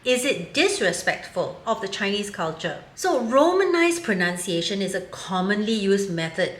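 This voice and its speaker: A woman's voice: mid-range voice, big pitch swings, normal speed. A woman in her fifties from Singapore, giving her frank, wry opinion in a commentary vlog. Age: 50s. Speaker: vlogger. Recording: roomy echo.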